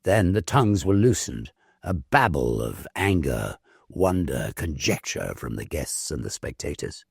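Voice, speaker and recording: A man reading a ghost story as dramatic narration, theatrical, measured and full of suspense. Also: rumbling low, big pitch swings, gravelly, slightly rough, no room sound, clean studio sound.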